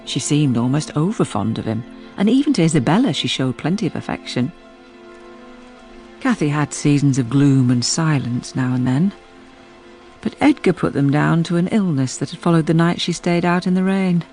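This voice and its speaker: A woman's voice: low voice, lively intonation, moderate pace, dark tone, soft background music. An actress playing a sensible, level-headed woman servant who narrates a gothic radio drama in a sober manner. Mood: reflective. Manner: sober, reasoning. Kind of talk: measured dramatic storytelling for radio.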